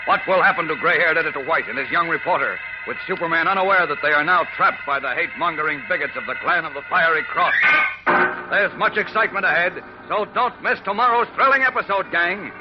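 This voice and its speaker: A man's voice: a little high, quick pace. An American man narrating a 1940s radio serial in a dramatic, suspenseful, emphatic way. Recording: muffled old radio, loud music.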